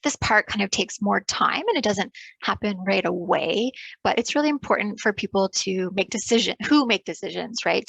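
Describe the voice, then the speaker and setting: A woman's voice: mid-range voice, gravelly, a bit bright, husky, dry recording, low-quality recording. A woman giving a casual webinar talk on public health over a video call, loose and full of fillers, often checking in with "right?". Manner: loose, filler-heavy, ends points with "right?".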